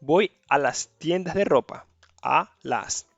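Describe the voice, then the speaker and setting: Chatty Spanish-native teacher, Portuguese lesson. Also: male voice, on the high side, takes it slowly, vocal fry, dry recording, highs cut off. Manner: chatty, checks in with tá and beleza. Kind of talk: casual online grammar lesson.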